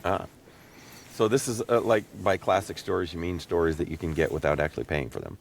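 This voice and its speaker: A man with a low voice hosts a casual interview. He asks questions conversationally, with faint noise behind him. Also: expressive, brisk, vocal fry. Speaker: interview host. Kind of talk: casual chat, asking questions.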